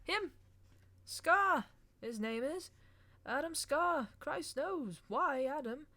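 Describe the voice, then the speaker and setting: A woman's voice: high voice, lively intonation, takes it slowly, sharp tone, voice pushed up, full character voice. A woman reading an audiobook voices a male pub landlord who grumbles and jeers at a customer. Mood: scornful. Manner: grumbling, jeering.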